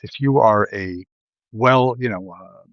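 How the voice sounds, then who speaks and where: Rambling Midwestern man, low voice. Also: takes it slowly, a bit bright, no room sound, muffled recording. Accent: Midwestern American. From Ohio, USA. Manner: digressive, many fillers and restarts. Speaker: live video host. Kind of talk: rambling live commentary. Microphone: live stream mic.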